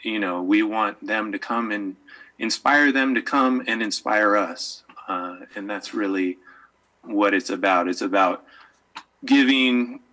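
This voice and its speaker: A man speaking for a community project at a public meeting, earnest, with halting phrasing. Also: on the low side, bright, forceful tone, low-quality recording. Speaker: skateboarder speaking for a skate park project.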